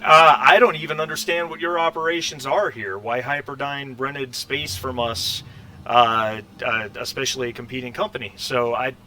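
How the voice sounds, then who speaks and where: A brusque, officious company administrator acted out by a man in a sci-fi tabletop game. The voice is bright and mid-pitched, curt and dismissive, with slight noise behind it. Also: fairly even intonation, normal speed, close to an everyday voice, poor audio.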